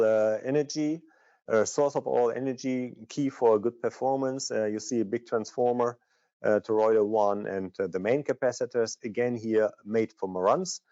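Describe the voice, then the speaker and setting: Low, mellow-voiced man presenting, slightly muffled. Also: dry recording. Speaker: product manager presenting. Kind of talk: technical product training presentation.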